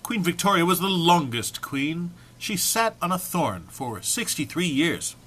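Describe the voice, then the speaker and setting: Animated, bright-voiced man reading mock-seriously. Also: on the high side, unhurried. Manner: mock-serious, with dry muttered asides. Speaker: reader. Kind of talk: dramatic comic reading of a humorous text.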